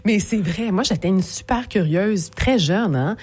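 A Québécois woman whose first language is Portuguese, a guest in a podcast interview, quick and lively as she tells her stories and laughing often. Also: medium pitch, breathy edge. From Montreal area, Quebec. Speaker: guest, consultant and speaker.

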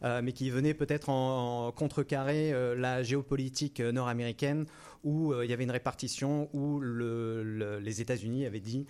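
A man answering as an interview guest, explaining without a script in a very halting way, with frequent hesitations and repeated words. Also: medium pitch, fairly even intonation, darkish tone, smooth. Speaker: interview guest, operations director in aerospace.

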